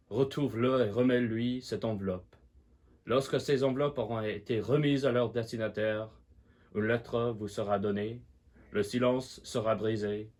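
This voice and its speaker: A man's voice: on the low side, darkish tone, natural voice. A man playing a formal notary solemnly reading out a will in an amateur stage piece.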